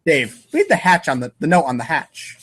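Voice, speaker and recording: Higher-voiced man narrating playfully. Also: sing-song, normal speed, a bit bright, breathy edge. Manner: playful, theatrical, voices sound effects. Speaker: narrator and cast member of a comedy read-aloud show. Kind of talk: informal comic reader's-theater narration.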